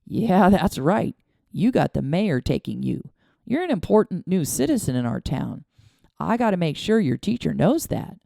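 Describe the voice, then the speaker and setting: Woman as a jolly small-town mayor, loud and hearty, gravelly. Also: lowish voice, very animated, normal speed, dry recording, clean studio sound, heavily acted. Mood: jovial. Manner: hearty, good-humoured. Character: friendly grown man, the town's jovial mayor.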